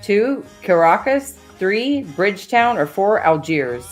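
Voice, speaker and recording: A woman's voice: mid-range voice, big pitch swings, slow pace, soft background music. A woman co-hosting a live-stream trivia night, chatting and reading quiz options aloud. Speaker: stream co-host.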